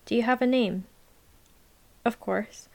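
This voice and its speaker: A woman's voice: a little high, slow pace, gravelly, pitched above the performer's own voice. Prickly young student girl, blunt, defensive, self-conscious, read by a woman narrator, storybook voice.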